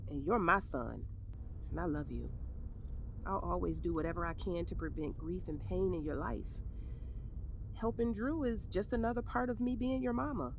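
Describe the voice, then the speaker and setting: A woman playing a caring but firm middle-aged mother in an audio drama, speaking in a measured, admonishing, motherly way. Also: fairly low pitch, a little hiss or hum, close to an everyday voice. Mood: tender, earnest. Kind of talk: naturalistic audio drama dialogue.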